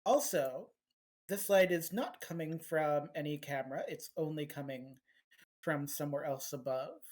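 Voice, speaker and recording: A woman's voice: sits low, moderate pace, a little mellow. A woman acting as game master, playfully setting the scene of a tabletop horror game.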